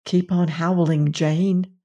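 A woman voicing a young boy in a storybook reading, speaking loudly and boldly to sound unafraid. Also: on the low side, steady tone, takes it slowly, lo-fi sound. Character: young boy George, bold, loud, bluffing.

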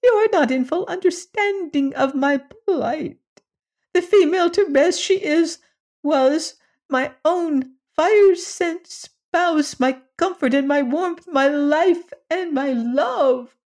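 Squeaky little squirrel-ish alien guy, a woman doing him, whimpery and begging, super formal, way up high. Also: takes it slowly, a little mellow. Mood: pleading. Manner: pleading, overly formal. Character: small pitiful male squirrel-like alien, humble and desperate. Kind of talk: audiobook character voice.

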